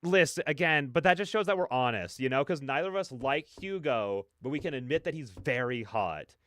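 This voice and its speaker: A man's voice: medium pitch, lively intonation, fairly quick, a bit bright, dry recording, clean studio sound. A man hosting a reaction video with casual, effusive commentary, repeating phrases for emphasis.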